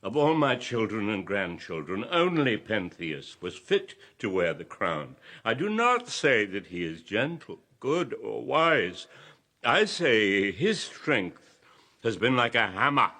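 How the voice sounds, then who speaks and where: An actor playing an aged former king and grandfather in a classical radio drama, earnest, deliberate and entreating. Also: fairly low pitch, big pitch swings, pushed and bright, exaggerated voice. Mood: grave. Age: older.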